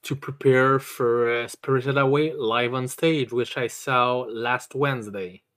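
Halting, slow-paced, excitable podcast host. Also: medium pitch. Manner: halting, stammering, repeats words; excitable, sweary, emphatic. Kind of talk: casual chat, enthusiastic review.

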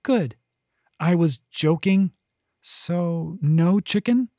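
A man's voice: a little high, lively intonation, very slow, warm tone, no room sound, studio quality, voice pushed up, full character voice. A man voicing a cheeky, greedy female cat who makes demands in a raised voice.

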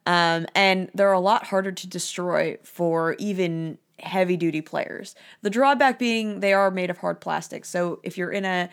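A woman with a hoarse voice hosts a casual dog-care podcast. She sits a little low in her pitch and talks in a loose, friendly way, dropping ums and uhs as she goes. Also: a little slow, few pauses. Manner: chatty, with frequent ums and uhs. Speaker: dog trainer, podcast host.

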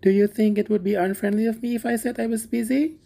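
Man voicing a timid, high-pitched little gardener. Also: normal speed, creaky, dark tone. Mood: shy. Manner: shy, timid, deferential. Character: timid, naive, eager-to-please little male gardener. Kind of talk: storybook character voice.

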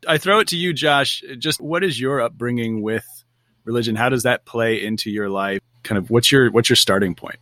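A man's voice: mid-range voice, normal speed, pushed and bright, no echo. Easygoing man hosting, dry close sound. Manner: friendly, prompting questions. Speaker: podcast host. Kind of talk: casual discussion.

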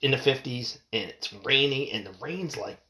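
A man with a stuffed, sniffly nose rants about the news in a voice of middling pitch. He swears freely, trails off, restarts his sentences and keeps rambling. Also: animated, moderate pace, sharp tone, slight background noise. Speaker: commentator. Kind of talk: profane rant reacting to news.

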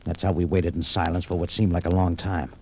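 An actor playing a tough, wry adventurer in an old radio detective drama, with punchy hardboiled delivery. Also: male voice, deep voice, little pitch movement, a little fast, mellow, husky. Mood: grim narration. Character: tough, wry male adventurer narrating his exploits. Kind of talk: 1940s-50s radio detective drama acting. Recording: slightly noisy old radio recording.

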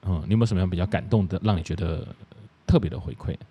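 A man's voice: low voice, racing, vocal fry, slightly rough, dull audio. A man with a Taiwanese Mandarin accent hosting a podcast in a casual, frank chat style. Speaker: podcast host.

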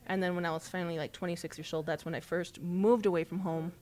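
A woman from South Texas, raised speaking Spanish, talks as a guest on a sports podcast. Her voice sits a little low, and she tells long stories in a loose, chatty way, full of "like" and quick laughs. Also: steady tone, darkish tone. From South Texas, USA. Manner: chatty, storytelling, frequent "like" and laughs. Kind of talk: casual interview chat.

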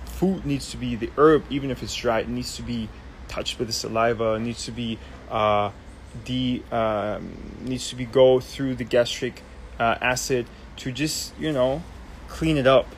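A man's voice: medium pitch, fairly even intonation, unhurried, a little hiss or hum. A young man from Germany, hosting a casual live Q&A and explaining things loosely with many ums and restarts. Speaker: host. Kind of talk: casual live Q&A, answering viewer questions.

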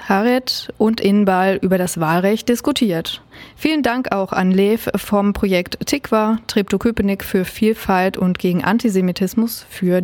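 A woman presenter reading a scripted radio introduction in a clear, even delivery. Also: medium pitch, dry recording.

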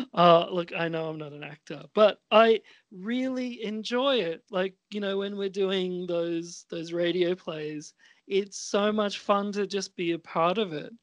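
A man with a fairly high voice chats casually and haltingly. He takes his time, and the sound is close and dry. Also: fairly bright tone, dry recording. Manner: hesitant, halting. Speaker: amateur writer and podcast performer. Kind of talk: casual chat.

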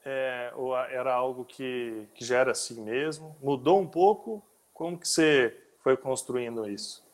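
A man hosting a casual interview, talking in a halting way with frequent restarts. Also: mid-range voice. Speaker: interview host.

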